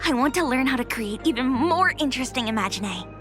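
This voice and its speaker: A woman voicing an eager, warm-hearted young student in a video game acting style. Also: sits high, expressive, fast. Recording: music under the voice.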